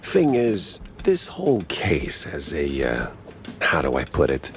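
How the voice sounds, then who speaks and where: A low-pitched noir gumshoe mouse from a cartoon game. His delivery is deadpan and wisecracking, yet the pitch moves around a lot, with light music underneath. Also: slow, heavily acted. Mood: probing, wry. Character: wry hard-boiled cartoon mouse private detective. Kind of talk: 1930s noir detective voice acting.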